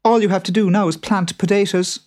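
Man doing a sharp farmer's wife, higher voice, brisk and bossy in a storybook. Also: fairly even intonation, lightly acted. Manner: brisk and persuasive.

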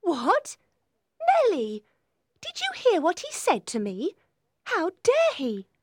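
Bratty little girl in a storybook, very high swoopy voice, pouty n bossy. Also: wildly swooping pitch, slow, no echo, studio quality, exaggerated voice. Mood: indignant disbelief. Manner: pouting, imperious. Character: spoiled, willful, haughty little rich girl.

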